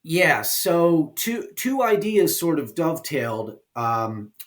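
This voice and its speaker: A man talking casually as an interview guest, explaining his work in an informal, halting way. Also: a little high, big pitch swings. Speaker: guest, indie game developer and producer.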